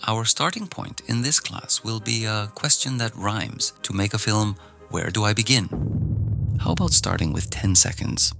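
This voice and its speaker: Man from Iceland, film teacher, very gravelly, lowish voice, relaxed and encouraging, faint music behind. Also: normal speed. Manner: relaxed, gently encouraging, with fillers when talking off the cuff. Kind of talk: online class lesson. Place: outdoors in nature. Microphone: phone recording nearby.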